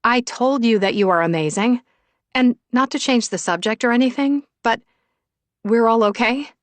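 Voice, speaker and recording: A woman's voice: on the high side, moderate pace, no echo, pitched above the performer's own voice, natural voice. A woman voicing a blunt, supportive young woman, a chatty friend in an audiobook.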